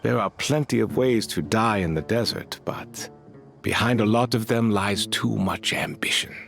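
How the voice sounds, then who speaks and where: A man playing a weathered, kindly desert band leader in a game, speaking in a folksy, fatherly and unhurried way. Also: lowish voice, gravelly, a bit bright, soft background music. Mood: reflective. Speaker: voice actor in a game. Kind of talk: dramatic game voice acting.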